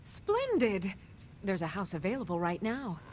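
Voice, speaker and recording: Lively, formal fantasy game steward. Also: female voice, mid-range voice, measured pace, a little hiss or hum. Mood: courteous. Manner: formal, polite. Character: courteous, businesslike steward woman serving a lord. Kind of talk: video game character dialogue.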